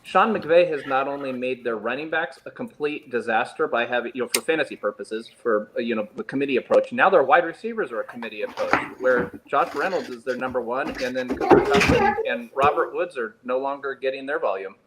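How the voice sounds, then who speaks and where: A man's voice: mid-range voice, big pitch swings, brisk, few pauses. Guy with medium voice talking sports, emphatic takes, some faint noise behind him. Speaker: fantasy football panelist. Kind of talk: casual sports analysis. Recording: clear background noise.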